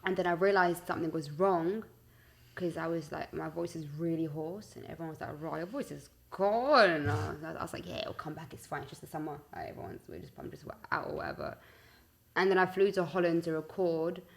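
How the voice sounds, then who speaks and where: A young woman with a London accent and a fairly low voice. She talks in a loose, slangy, conversational style, spinning out anecdotes with a giggly, animated manner. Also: a little fast, vocal fry. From West London, UK. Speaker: singer-songwriter interview guest. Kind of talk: casual chat.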